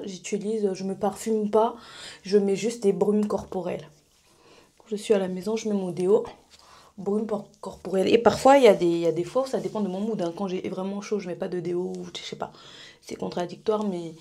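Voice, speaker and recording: A woman's voice: lowish voice, warm tone. A young adult woman vlogging casually to camera, rambling with fillers and restarts. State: stuffy from pollen allergy. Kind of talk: casual vlog chat to camera. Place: bedroom at home, then small residence gym.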